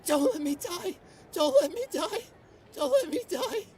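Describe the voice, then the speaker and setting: A man's voice: extremely high pitch, expressive, unhurried, a little mellow, exaggerated voice. A man playing a terrified, pleading security guard, crying, in a radio drama. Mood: panicked. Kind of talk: fan radio drama acting.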